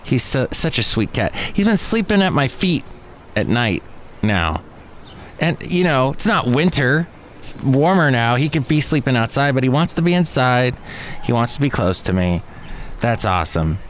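A man's voice: medium pitch, big pitch swings, moderate pace. Rambling American podcast man, noisy recording. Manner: rambling, jokey, self-mocking asides. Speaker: podcast host. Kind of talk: casual solo podcast monologue. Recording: clearly audible background noise.

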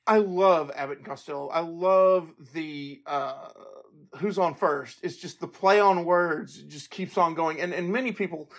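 A man's voice: fairly high pitch, measured pace. A man hosting a podcast, speaking informally and earnestly in a monologue to listeners. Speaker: podcast host.

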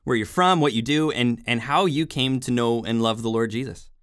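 A man from western Canada hosting a podcast interview, casual and upbeat with quick affirmations. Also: medium pitch, a bit bright, clean tone, dry recording, clean studio sound. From Alberta, Canada. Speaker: podcast host.

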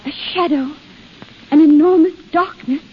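Casting: woman playing fragile frightened girl of noble birth, extremely high voice, muffled vintage radio drama. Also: normal speed, natural voice. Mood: terrified, haunted. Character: delicate, terrified young noblewoman. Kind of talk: 1930s radio acting. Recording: muffled 1930s radio broadcast recording.

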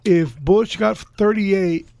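An older man with a hoarse voice, co-hosting a casual political chat in a gregarious, bombastic, argumentative way. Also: high-pitched, slow pace, darkish tone, dry recording. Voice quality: hoarse, gravelly voice. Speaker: podcast co-host.

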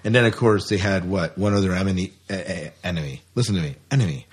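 Husky, low-pitched American man chatting casually. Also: quick pace. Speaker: podcast co-host. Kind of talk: casual sci-fi news chat.

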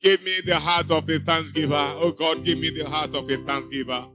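A man's voice: a little high, fairly even intonation, normal speed, music in the background. A man preaching a sermon to a church congregation. He exhorts the listeners with emphatic, repeating refrains. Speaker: pastor. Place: church before a live congregation.

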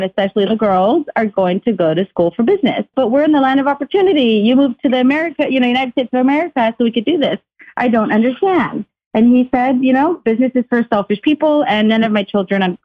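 A woman from Chile, a company founder, speaking candidly as a radio interview guest and laughing easily. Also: medium pitch. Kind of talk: phone interview, personal story. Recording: dull lo-fi call audio.

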